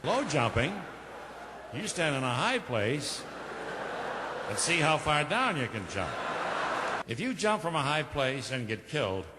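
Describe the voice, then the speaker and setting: A man playing a big, dim, self-satisfied champion athlete, deadpan and literal, in a radio comedy sketch. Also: fairly high pitch, a little slow, pauses often, in a large hall, very noisy. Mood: deadpan, proud. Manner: deadpan, literal, explaining patiently.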